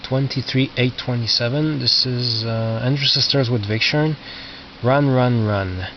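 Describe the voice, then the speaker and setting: A man giving casual, unscripted commentary as a record collector, halting and muttering asides to himself. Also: medium pitch. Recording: lo-fi, noisy, distant mic with clicks.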